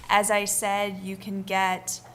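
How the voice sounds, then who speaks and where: Brisk woman answering a question on fees. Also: mid-range voice, monotone, fairly bright tone, a little hiss or hum. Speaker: panelist, finance expert. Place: event room with audience.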